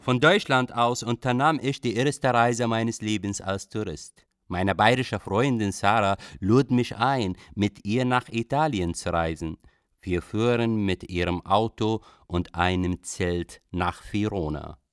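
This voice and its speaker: A man's voice: lowish voice, measured pace, smooth, no room sound, studio quality, close to an everyday voice. An actor playing an Iraqi traveller who recalls his journey in reflective literary radio drama narration.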